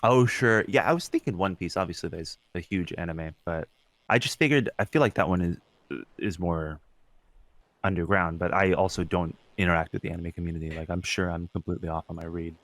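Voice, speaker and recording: A man's voice: low-pitched, fairly quick, fairly bright tone, no echo. A man co-hosting a podcast, chatting casually and reacting in an agreeable, laid-back, informal way. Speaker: podcast co-host. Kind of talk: casual chat, reacting to co-host.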